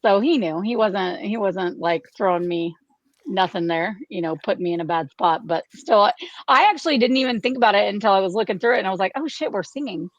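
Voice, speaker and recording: A woman talking casually after a show, telling her story in an animated way as one of the actors. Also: mid-range voice, fairly quick, no room sound, highs cut off. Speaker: actress in an amateur radio play troupe, playing the lead.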